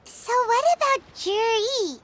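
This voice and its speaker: A woman voicing a tiny, excitable, inquisitive fairy companion in a video game, with a squeaky childlike voice. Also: slow pace, heavy background noise. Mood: curious. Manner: exclaiming, eagerly questioning. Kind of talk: video game character voice acting.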